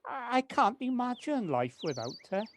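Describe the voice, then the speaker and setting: Casting: woman as devoted, reflective gardener man for audio play; expressive melody, halting, noisy home recording. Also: a little high. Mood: wistful, earnest. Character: devoted, wistful gardener, male, called Alan. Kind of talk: amateur audio drama acting. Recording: noisy home personal-device recording with clicks.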